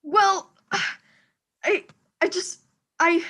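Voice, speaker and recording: A woman's voice: extremely high pitch, drawn-out words, bright, forceful tone, heavily acted. A woman playing a panicking, demanding, self-pitying invalid wife in an old-time radio thriller, fretful and stammering. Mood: fretful, indignant.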